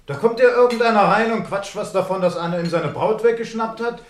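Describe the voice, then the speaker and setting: An actor playing an indifferent pub patron in a radio play, scoffing and dismissive in a mocking way. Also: male voice, high voice, a little fast, reverberant, lightly acted. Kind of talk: German radio play acting.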